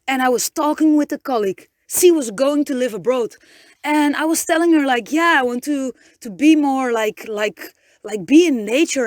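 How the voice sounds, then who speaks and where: A young woman with a Dutch accent talks casually, often stopping and restarting as she hunts for the right words. Her voice sits slightly high, and the sound is dry and close, with little room around it. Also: no echo. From Netherlands. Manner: chatty, searching for words, many restarts and fillers. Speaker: podcast host. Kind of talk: casual solo podcast monologue. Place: inside a camper van in the rain.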